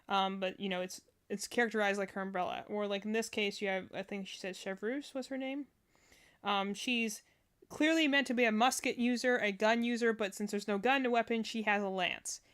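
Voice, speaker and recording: A woman gives casual livestream commentary as a reaction host. Her voice is mid-pitched. She rambles and thinks out loud as she goes. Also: clean tone.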